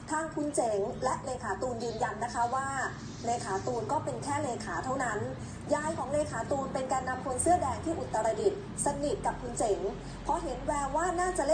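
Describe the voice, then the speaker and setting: Woman news presenter, higher pitch, conversational reporting, noticeable background noise. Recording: roomy echo, clear background noise.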